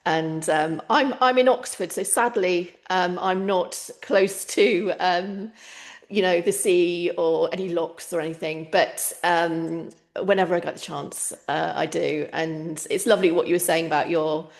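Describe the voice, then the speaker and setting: A woman hosts a podcast interview in a slightly low, gentle voice. She pauses often and fills the gaps with soft ums. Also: fairly even intonation. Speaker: podcast host.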